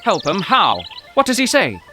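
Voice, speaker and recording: An actor playing an anxious, poetic professor in a 1930s radio adventure, with a fretful, literary and theatrical manner. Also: male voice, high-pitched, wildly swooping pitch, moderate pace, sharp tone, soft background music, heavily acted. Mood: baffled. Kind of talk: 1930s radio drama acting. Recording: echoey, slight background noise.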